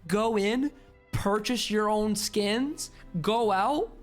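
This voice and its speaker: A woman's voice: mid-range voice, slow pace. A woman streamer giving casual, joking commentary over a game in a slangy, reactive way. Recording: faint game music behind the voice.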